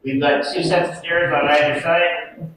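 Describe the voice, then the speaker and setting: A man's voice: a little high, steady tone, normal speed, fairly bright tone, slight background noise. A man with a Canadian accent working as a stage hypnotist, playfully coaxing audience volunteers at a live show. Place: large banquet hall, live show. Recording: echoey hall, distant mic, music.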